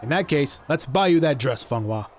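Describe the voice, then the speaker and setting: Gallant male magician for audio drama, played by a man: lightly high, very lively, theatrical, music underneath. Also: normal speed, background noise, close to an everyday voice. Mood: calm, helpful.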